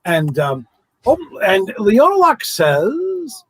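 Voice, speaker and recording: A man hosting an interview show, animated, stumbling over his words and laughing often. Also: high voice, slow pace, slightly rough, no echo. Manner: animated, stammering, laughs a lot. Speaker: show host. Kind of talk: casual interview chat.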